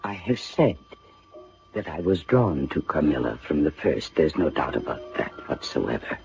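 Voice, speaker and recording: An actor playing a grave, reflective narrator in an old-time radio drama, speaking deliberately and dramatically. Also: male voice, very deep voice, big pitch swings, vocal fry, a little mellow, full character voice. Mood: brooding. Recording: muffled vintage radio drama recording. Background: music.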